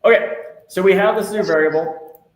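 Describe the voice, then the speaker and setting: Patient man teaching a software class. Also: sits high, moderate pace. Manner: patient, reassuring, step-by-step. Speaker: instructor. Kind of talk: live software class walkthrough. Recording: echoey room, faint noise.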